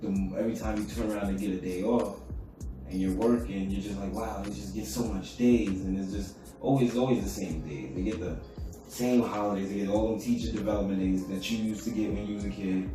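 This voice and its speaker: A man, co-hosting a podcast in a casual, rambling chat at home, keeping his voice low. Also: fairly even intonation, brisk. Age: adult with children. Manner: laid-back, rambling, talks low so as not to wake his sleeping son. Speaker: podcast co-host. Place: room at home. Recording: echoey room, distant mic, music.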